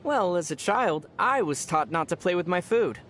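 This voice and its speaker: A man playing a young adventurer hero in a video game, with a youthful voice and a measured, thoughtful, gently wry manner. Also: high-pitched, expressive, slight background noise. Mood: wry, curious. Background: music.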